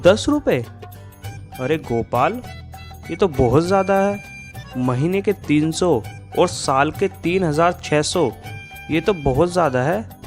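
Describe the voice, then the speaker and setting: A man puts on a pushy office-going man in a cartoon moral story. He talks in an insistent, accusing way, fairly high for a male, over music. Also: animated, slow pace. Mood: indignant. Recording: music behind the voice.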